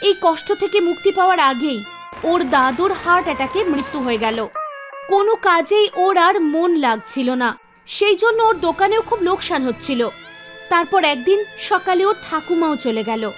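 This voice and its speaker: A woman with a strikingly high voice narrates a scripted cartoon folk tale. She works as a storyteller, and soft music plays underneath her. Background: background music.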